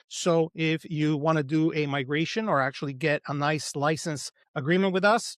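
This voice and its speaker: A man's voice: on the high side, fairly bright tone, no room sound, clean studio sound. A middle-aged man from El Salvador hosting a technical webinar, explaining concepts with enthusiasm and frequent check-ins like "right?" and "okay?". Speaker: webinar host and presenter.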